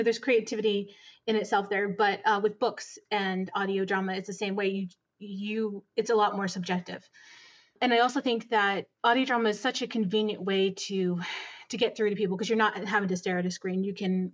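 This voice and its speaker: An enthusiastic woman guest on a podcast, talking in long, earnest stretches. Her voice sits at an ordinary middle pitch. The sound is somewhat muffled and lacks top end. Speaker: audio drama producer, guest. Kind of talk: conversational interview answers.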